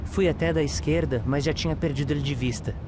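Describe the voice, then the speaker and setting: A man's voice: fast, lightly acted. A man playing a detective's informal, reactive Brazilian sidekick in an audio drama. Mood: puzzled. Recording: noisy outdoor-like rumbling ambience.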